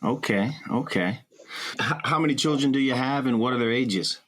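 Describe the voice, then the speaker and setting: A man's voice: mid-range voice, normal speed, a bit bright. A man hosting a podcast, interviewing a guest in an easygoing, encouraging way. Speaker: podcast host. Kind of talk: conversational interview.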